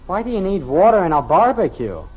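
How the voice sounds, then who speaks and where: High-pitched, grumbling American teenage boy. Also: very animated, fairly quick, soft background music. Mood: puzzled. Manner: protesting, offhand. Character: grumbling, put-upon American teenage son. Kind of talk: 1950s American radio sitcom acting. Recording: dull, noisy old radio broadcast recording.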